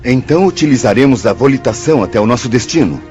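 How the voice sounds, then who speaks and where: An actor playing a polite, inquisitive spirit missionary in a radio drama, courteous in manner. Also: male voice, medium pitch, expressive, quick pace, darkish tone, dull audio, soft background music, close to an everyday voice. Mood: curious.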